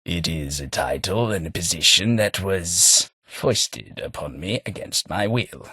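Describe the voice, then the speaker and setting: An actor playing a sardonic, well-spoken tithe collector in a video game, with a husky, understated delivery and dry humour. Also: male voice, low-pitched, lively intonation, a little slow, pushed and bright, no echo, full character voice. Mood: measured. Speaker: game companion character. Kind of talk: game voice lines.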